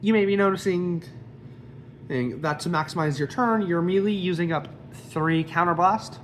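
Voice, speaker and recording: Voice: mid-range voice, normal speed, a little hiss or hum. A card game deck reviewer with a slight cold, casually explaining card effects one by one.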